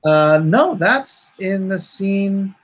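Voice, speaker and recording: A man hosting a livestream, chatting casually with informal, unhurried asides. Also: high-pitched, lively intonation. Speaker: comic artist hosting a livestream.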